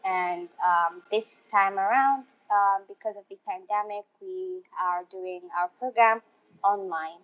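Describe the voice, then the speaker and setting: A young woman from Somalia, a refugee youth program organizer, answering interview questions slowly with many fillers. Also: mid-range voice. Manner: slow, halting, many fillers. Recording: muffled lo-fi audio.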